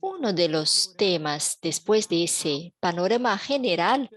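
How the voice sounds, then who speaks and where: A woman interpreting a panel talk in a measured, even way over a video call. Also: lowish voice. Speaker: simultaneous interpreter.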